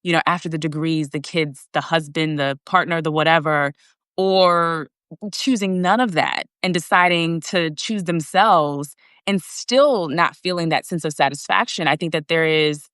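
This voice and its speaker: A woman with a notably low voice explains her thinking in an earnest, steady way. The sound is dry and close, as over a video call. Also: normal speed, smooth, dry recording. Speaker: guest and author. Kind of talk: casual conversation on a live video.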